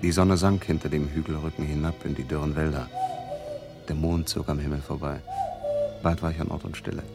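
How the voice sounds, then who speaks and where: Composed male adventurer, low deep voice, literary storytelling, radio drama, music underneath. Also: level tone, fairly quick, vocal fry. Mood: composed, leisurely. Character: composed male adventurer recounting sea disasters and journeys. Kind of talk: scripted adventure narration in radio play.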